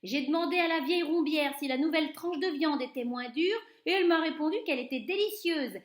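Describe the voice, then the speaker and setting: A woman voicing a boastful male waiter who tells a story in a loud, excited way. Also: high-pitched, a little fast, pitched above the performer's own voice, lightly acted. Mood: gleeful. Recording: roomy echo.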